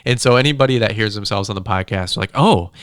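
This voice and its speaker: A man's voice: lowish voice, fast, a bit bright, smooth, dry recording. A man hosting a podcast in a studio, chatting casually in a rambling, informal, bro-ish way on a close microphone. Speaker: podcast host. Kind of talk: casual upbeat podcast chat.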